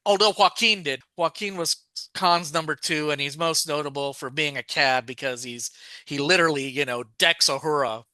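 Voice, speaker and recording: Guy on a podcast rambling about a show, bright forceful voice, fairly high, dry close sound. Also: normal speed, no room sound. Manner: rambling, digressive, frequent fillers and self-corrections. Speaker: podcast co-host. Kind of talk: casual chat about a TV show.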